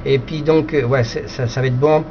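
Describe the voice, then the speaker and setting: A man's voice: medium pitch, fairly even intonation, normal speed. A man operating an amateur radio, explaining technical things casually in choppy, repeated phrases. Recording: slightly dull, faintly noisy radio chat recording.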